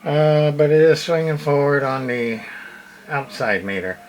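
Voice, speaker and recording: A man's voice: fairly high pitch, animated, measured pace, low-quality recording. A man casually narrating hands-on repair work as a hobbyist, plain-spoken and muttering a little as he works. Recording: clear background noise.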